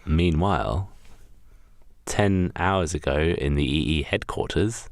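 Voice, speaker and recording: A man's voice: a bass voice, slow pace, no room sound. A man narrating an improvised comedy radio play in a theatrical, emphatic storytelling manner. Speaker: podcast narrator.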